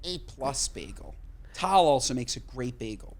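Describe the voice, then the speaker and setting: A man's voice: mid-range voice, lively intonation, gravelly. A man co-hosting a podcast, chatting casually and emphatically, swearing freely. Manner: emphatic, swears casually, talks in quick bursts. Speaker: podcast co-host. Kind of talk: casual chat.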